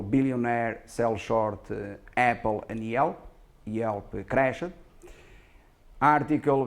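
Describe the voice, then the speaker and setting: A man who is not a native English speaker giving self-promotional stock market commentary, speaking in a halting but emphatic, self-assured way. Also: mid-range voice, a little mellow. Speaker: stock market analyst and presenter.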